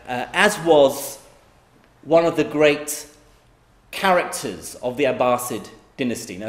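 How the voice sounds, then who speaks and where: A middle-aged man from Iraq giving a conversational public lecture, with frequent fillers and restarts. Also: mid-range voice. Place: lecture hall. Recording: echoey lecture hall.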